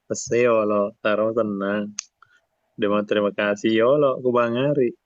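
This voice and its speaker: A man's voice: fairly low pitch, steady tone, unhurried, dry recording, dull audio. A man from chatting casually as a live streamer.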